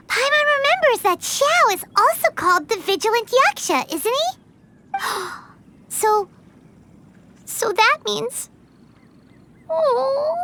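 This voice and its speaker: A woman voicing a tiny, excitable, childlike fairy companion in a video game, squeaky and emotive. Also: slight background noise, full character voice. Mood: dawning pity. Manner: refers to self in third person, emotive. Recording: loud music behind the voice.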